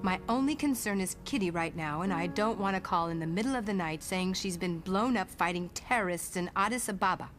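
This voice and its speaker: A woman's voice: lowish voice, a little fast, hardly any pauses, a bit bright, soft background music, lightly acted. An actress playing a protective, no-nonsense mother in a motion comic, speaking in an earnest, firm way. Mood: worried, firm. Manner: earnest, firm, sometimes sarcastic. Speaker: voice actor.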